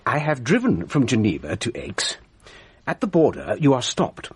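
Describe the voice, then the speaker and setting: A man acting in a radio drama as a fussy, excitable well-to-do gentleman amateur sleuth, earnest and easily indignant. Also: medium pitch, moderate pace, husky, exaggerated voice. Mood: indignant, self-important.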